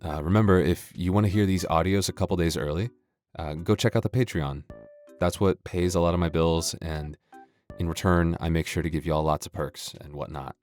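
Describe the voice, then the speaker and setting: A man with a deep voice talks casually to his listeners as an audio creator. He rambles in a dry, joking, self-deprecating way, with soft music behind him. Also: brisk. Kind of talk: casual, joking outro chat.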